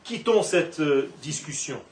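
A man giving an animated Torah class with playful asides, recorded from a distance in a roomy classroom. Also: on the high side, measured pace. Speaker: Torah teacher. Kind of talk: religious class lecture. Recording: echoey room, from far off.

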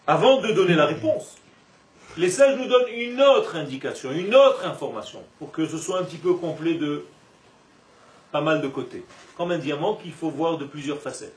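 A man giving an animated religious lesson to a group, with rhetorical questions and drawn-out emphasis. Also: a little high. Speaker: religious teacher giving a Torah class. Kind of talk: lively spoken lesson to a live group. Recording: roomy echo, distant mic, faint noise.

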